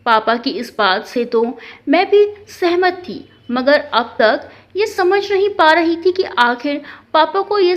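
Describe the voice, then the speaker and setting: High-pitched woman narrating a story. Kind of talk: scripted first-person narration of a family drama story. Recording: dull, lo-fi sound.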